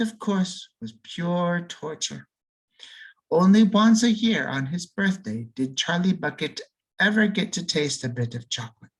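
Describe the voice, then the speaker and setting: A man reading a children's storybook aloud, slowing down and lingering on key words, with free swings in pitch and loudness for emphasis. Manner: expressive, lingers and slows on key words for effect. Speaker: audiobook reader.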